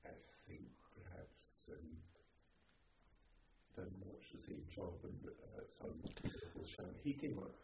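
An elderly man reminiscing in an oral history interview, speaking in a halting, reflective way. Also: extremely high pitch, huge pitch swings. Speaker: interviewee, former army officer. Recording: dull, noisy, echoey, distant microphone.

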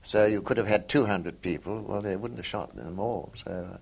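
An older man with a very deep voice recalls his wartime service in a slow, halting way, filling gaps with ums and uhs. The recording sounds dull and lo-fi. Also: gravelly, a little mellow, breathy edge. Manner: hesitant, many ums and uhs, measured. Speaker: war veteran interviewee. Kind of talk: oral history recollection.

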